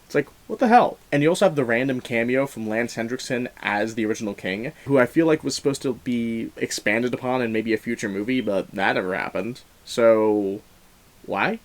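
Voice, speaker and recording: A man giving a conversational film review as host, animated and emphatic, with informal, slangy asides. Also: mid-range voice, fairly quick.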